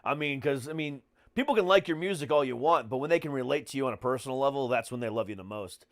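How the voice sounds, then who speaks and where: A man's voice: medium pitch, fast talker, no room sound. American man, blunt casual podcaster, close dry sound. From United States. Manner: blunt, heavy swearing. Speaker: podcast host and comedian.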